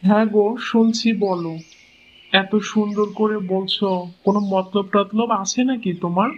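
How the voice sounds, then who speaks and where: High-voiced doting village husband played by a man, lo-fi. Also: fairly even intonation, moderate pace, lightly acted. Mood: affectionate. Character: doting, easygoing village husband, indulgent toward his wife. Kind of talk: cartoon moral-story voice acting. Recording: dull lo-fi sound with clear noise.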